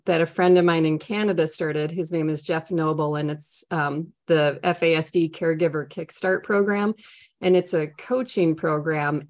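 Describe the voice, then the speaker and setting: A middle-aged woman with a Minnesotan accent talks conversationally as an interview guest, candid and chatty with rambling asides. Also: lowish voice. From Minnesota, United States. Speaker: trainer and coach for families, interview guest.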